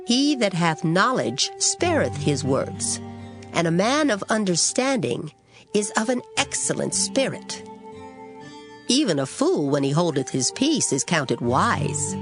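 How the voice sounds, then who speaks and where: A woman with a somewhat low voice reads scripture slowly and solemnly, her pitch swinging widely across each line. Music plays clearly underneath. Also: sing-song. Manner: measured, solemn recitation. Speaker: scripture reader. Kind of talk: dramatized scripture reading. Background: background music.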